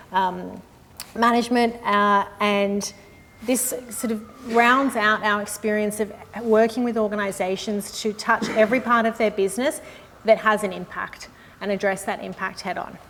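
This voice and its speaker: A woman, a sustainability consultant giving a live industry presentation in a room with an audience, in a friendly, measured way with frequent "um" and "uh". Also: mid-range voice, fairly bright tone. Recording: long hall reverb with faint noise.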